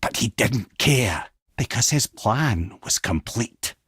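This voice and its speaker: Old Scottish storyteller, worldly-wise, wry, eloquent, animated pitch, dry studio sound, game companion. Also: male voice, slow, breathy edge, no echo, full character voice. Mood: solemn storytelling. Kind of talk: video game character dialogue, lore storytelling.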